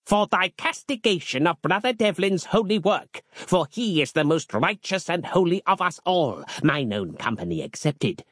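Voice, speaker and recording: A man's voice: high voice, very animated, moderate pace, hardly any pauses, fairly bright tone, dry recording, studio quality, pitched above the performer's own voice, heavily acted. A man voicing a stern, pious seventeenth-century ancestor spirit in a storybook, with an archaic, commanding, sermonizing manner. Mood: zealous, stern.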